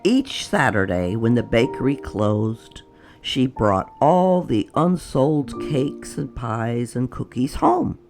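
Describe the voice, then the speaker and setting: A storytime host reading a children's picture book aloud in an expressive, unhurried way. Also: mid-range voice, faint music behind.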